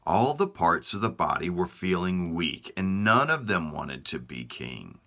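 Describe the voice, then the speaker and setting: A man reading a children's folktale aloud, slow and expressive. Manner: slow, lively storytelling, voicing body parts in raised pitch. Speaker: storybook reader.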